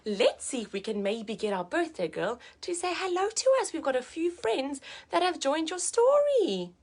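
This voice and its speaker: A woman's voice: sits high, big pitch swings, normal speed. A woman hosting a live story time, talking to young children in an encouraging, gushing, sing-song way. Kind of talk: live read-aloud and chat addressed to young children.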